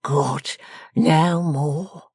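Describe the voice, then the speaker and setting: Storybook role: abject old drunken father, acted by a woman, husky, dragging, pitiful whimper, forever pleading. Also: deep voice, steady tone, drawn-out words, a little mellow, no echo, heavily acted. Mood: rueful. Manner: whimpering, slurred drawl, pleading. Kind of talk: storybook character acting.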